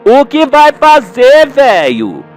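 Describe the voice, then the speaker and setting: Radio soap matriarch done by a man: older rural wife, high-pitched, fretful, bossy, colloquial. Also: wildly swooping pitch, slow pace, soft background music, full character voice. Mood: bossy, disapproving.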